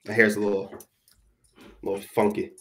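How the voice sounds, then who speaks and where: A man's voice: lowish voice, completely flat delivery, moderate pace, slight background noise. Self-deprecating man on a video call. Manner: self-deprecating, rambling, hesitant fillers. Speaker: guest dyer. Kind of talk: casual chat. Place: home room. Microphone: webcam on a video call.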